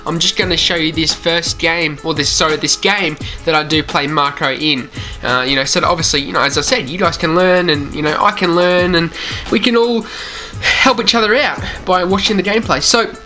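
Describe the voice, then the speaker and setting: Aussie guy w a light accent rambling over his game footage, bright voice, bit of music under it. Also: a little high, brisk. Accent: mild Australian. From Australia. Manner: chatty and rambling, with many "you know" fillers. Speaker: gaming channel host. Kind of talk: casual commentary over gameplay.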